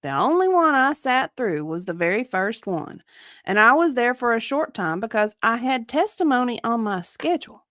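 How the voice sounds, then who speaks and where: A woman's voice: on the high side, very animated, moderate pace, fairly bright tone, no echo, clean studio sound. A woman reading the part of a guarded, evasive witness in a dramatic deposition reading, with careful, hedging answers. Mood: evasive, earnest. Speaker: dramatic reader.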